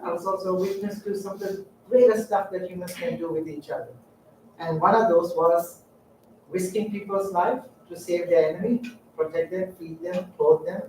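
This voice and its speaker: A middle-aged man from Sri Lanka telling personal stories in a public talk, halting and reflective with frequent fillers. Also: high voice, darkish tone, slight background noise. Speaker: guest speaker. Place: lecture room. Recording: echoey room, distant mic.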